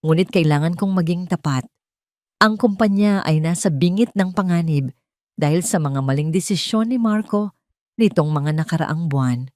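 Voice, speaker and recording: A grave, frank old businessman and family friend in an audio drama, voiced by a woman. The delivery is measured and formal, in a dry, close recording. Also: on the low side, a little mellow, no echo, close to an everyday voice.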